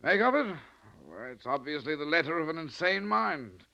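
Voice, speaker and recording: A ship's officer, formal and bossy, sharp highish voice, muffled old radio drama. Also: male voice, sing-song, normal speed, vocal fry, exaggerated voice. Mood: dismissive. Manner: authoritative, formal, dismissive. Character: brisk, skeptical male ship's officer in command. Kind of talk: 1940s radio acting.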